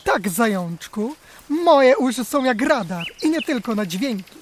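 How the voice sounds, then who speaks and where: A woman's voice: a little high, big pitch swings, a little slow, a little hiss or hum. A woman voicing a brave, gallant male hare who speaks in a courtly, theatrical way in a children's radio play.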